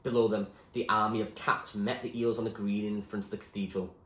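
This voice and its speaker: A man reading a novel aloud as expressive storybook narration, like a teacher reading to a class. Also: on the low side, fairly quick, reverberant.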